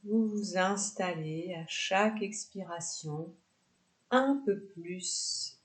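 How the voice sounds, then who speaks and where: A woman leading a guided yoga nidra relaxation, giving unhurried, soft instructions with pauses between phrases. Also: lowish voice.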